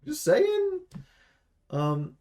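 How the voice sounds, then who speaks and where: A man's voice: extremely high pitch, wildly swooping pitch, extremely slow, warm tone. A man giving casual, opinionated commentary on a game preview, speaking bluntly and with emphasis. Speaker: game reviewer and streamer.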